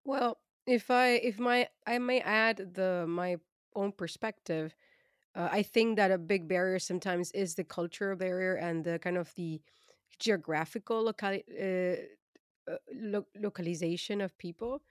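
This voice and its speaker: A woman's voice: mid-range voice, unhurried, no room sound, clean studio sound. A woman podcast co-host, speaking thoughtfully with frequent restarts in a casual panel discussion. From Latin America. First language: Spanish.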